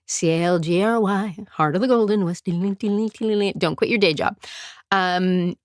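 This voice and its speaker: A woman's voice: mid-range voice, normal speed, no echo, clean studio sound. A woman from Calgary hosting her podcast, talking in a chatty, digressive, self-deprecating way and laughing often. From Calgary, Canada. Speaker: podcast host and performer. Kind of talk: casual solo podcast monologue.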